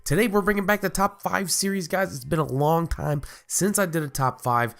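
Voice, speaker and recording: A man's voice: high voice, normal speed, gravelly, fairly bright tone. A man hosting a casual gaming commentary video, sounding nasal from a cold and rambling with lots of fillers and restarts. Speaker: gaming YouTuber host.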